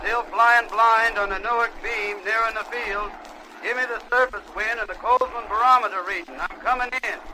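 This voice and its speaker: Over a clearly noisy old recording with engine drone comes a man acting a brash, impatient pilot flying blind. His voice is very high and clipped. He barks slangy calls in 1930s radio-drama fashion. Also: fairly even intonation, moderate pace, natural voice. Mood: irritated, urgent. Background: loud aircraft engine drone.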